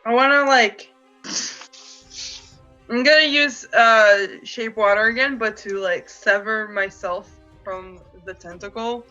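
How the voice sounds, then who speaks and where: A woman with a bright, fairly high voice plays a tabletop role-playing game. She speaks slowly and casually, in a slightly dull recording with music underneath.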